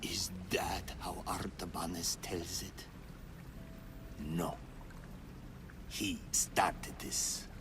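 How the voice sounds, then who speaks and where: An actor playing a menacing, ominous zealot hunter from a secret ancient order, in a dramatic video game cutscene. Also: male voice, deep voice, level tone, noisy background. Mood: wounded, bitter. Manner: slow, deliberate, ominous.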